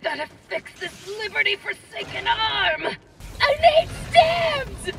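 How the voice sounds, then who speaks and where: Zealous female trooper, video game, fervent shouted barks, extremely high pitch, distant under battle din. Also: very animated, slow pace, full character voice. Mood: pained, desperate. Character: zealous, gung-ho female soldier in futuristic war game. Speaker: player character voice. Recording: game audio under loud combat noise, distant. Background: loud game combat effects.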